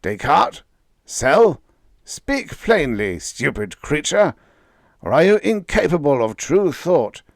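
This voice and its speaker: A man's voice: on the high side, big pitch swings, takes it slowly, gravelly, no room sound, clean studio sound, voice pushed up, full character voice. A man voicing an ancient, arrogant supreme intelligence that commands and roars threats. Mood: imperious. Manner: pompous, commanding, roaring. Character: booming arrogant cosmic intelligence, ancient, furious.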